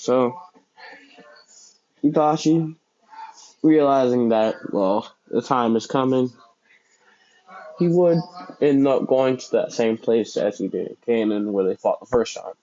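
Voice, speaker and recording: A young man casually telling a fan fiction story out loud, rambling and correcting himself as he goes. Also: mid-range voice, takes it slowly, long pauses, highs cut off. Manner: rambling, self-correcting, many fillers.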